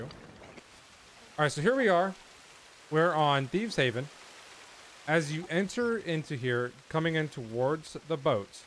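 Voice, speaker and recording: A man's voice: mid-range voice, fairly bright tone. A middle-aged man giving a casual, easygoing video game walkthrough, speaking informally to his viewers. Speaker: video game guide creator and host. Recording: clear background noise under voice.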